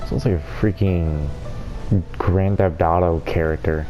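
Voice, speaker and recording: A man's voice: deep voice, steady tone, measured pace. A man giving casual let's-play commentary, slangy and full of joking riffs. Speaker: gaming video host. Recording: dull lo-fi mic, game music behind.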